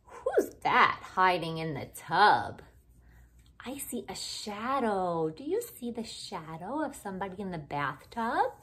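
A woman's voice: medium pitch, very animated, slow, fairly bright tone. A woman doing an interactive picture-book read-aloud for young children, speaking in simple, exaggerated phrases with many questions. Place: living room at home, indoors.

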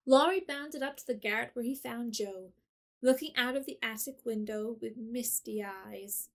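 A woman narrating a classic novel in an audio drama, gentle and measured. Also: a little high. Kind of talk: scripted storybook narration.

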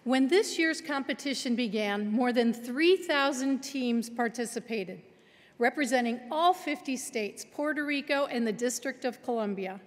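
A woman's voice: sits high, normal speed. A middle-aged woman giving a formal keynote address at a lectern, congratulating students with emphatic delivery. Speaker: keynote speaker. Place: large auditorium stage at a lectern. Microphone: lectern microphone. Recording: long auditorium reverb.

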